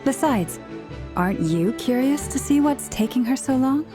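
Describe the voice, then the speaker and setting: A woman voicing a gentle, playful young female moonchanter with a light, lilting manner, in video game dialogue. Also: high-pitched, animated, normal speed. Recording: game audio with clear music behind.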